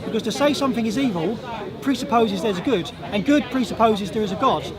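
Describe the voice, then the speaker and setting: A man with a high voice that has gone hoarse, pressing his points in a street debate. He talks insistently and doubles back on words when he is cut off. A crowd murmurs clearly around him in an open public park. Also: fast. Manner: insistent, argumentative, repeats himself when cut off. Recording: outdoor crowd noise.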